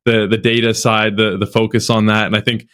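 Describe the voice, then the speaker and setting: Podcast host, halting and repetitive. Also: male voice, on the low side, flat delivery, a bit bright, clear, resonant tone. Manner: halting, repeats words as he talks. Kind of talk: casual podcast interview.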